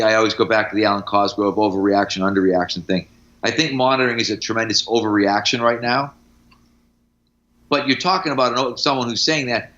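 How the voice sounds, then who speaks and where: A man's voice: medium pitch, bright, forceful tone, muffled recording. A middle-aged man, a strength coach, talking casually on a podcast in anecdotes and examples, with firm opinions.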